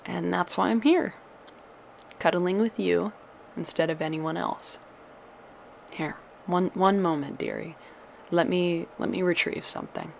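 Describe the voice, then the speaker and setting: A woman's voice: fairly low pitch, unhurried, gravelly, long pauses, slight background noise. A woman playing a tender, doting witch girlfriend who soothes a monster lover with old-fashioned endearments in an ASMR audio roleplay. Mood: affectionate.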